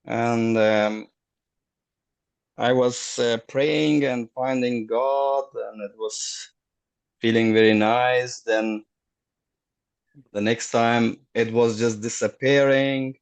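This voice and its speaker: A middle-aged man, a guest on a video call, telling his story haltingly and searching for words. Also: medium pitch, fairly even intonation. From Afghanistan. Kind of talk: casual personal story.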